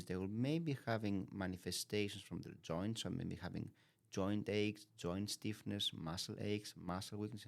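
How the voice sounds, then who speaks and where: A man from Greece with a very low, deep voice talks through medical causes in a calm, methodical manner. Greek is his first language, and he lays out examples one after another like a doctor explaining to a lay audience. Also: vocal fry. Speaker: pulmonary physician guest. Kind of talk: explanatory podcast conversation.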